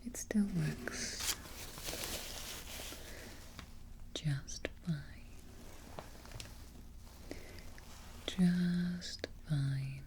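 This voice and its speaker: A woman playing a fussy, quietly menacing evil queen in a soft, whispered ASMR roleplay, musing with deliberate pauses. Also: low-pitched, steady tone, background noise. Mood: calm, musing.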